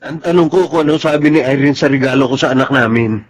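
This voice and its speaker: An actor playing an earnest, emotional soldier and family man in a Filipino radio drama, with a husky Filipino-accented voice. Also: mid-range voice, quick pace, lightly acted. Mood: conversational, wistful. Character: soldier, young family man, devoted to comrade and children.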